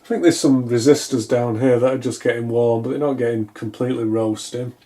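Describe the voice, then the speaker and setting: Brief: male hobbyist repairer, soft-edged mellow tone, casual and unsure, thinking aloud at the bench. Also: medium pitch, fairly even intonation, brisk. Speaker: electronics repair hobbyist, video host. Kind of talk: casual hands-on repair commentary. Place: indoors at a wooden workbench.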